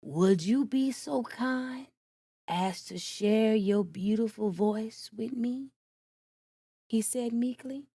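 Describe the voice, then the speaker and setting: A woman voicing a sly, smooth-talking male fox who flatters to get his way, in a storybook reading. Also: fairly high pitch, slow pace, no room sound, clean studio sound, heavily acted. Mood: coaxing. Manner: sweet-talking, coaxing.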